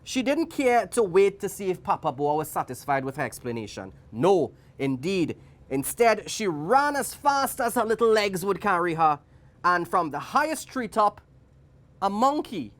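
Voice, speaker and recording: A woman's voice: lowish voice, big pitch swings, moderate pace. A woman with a Trinidadian accent reading a storybook aloud to children, theatrical and playful, with chatty asides. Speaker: guest story reader for children.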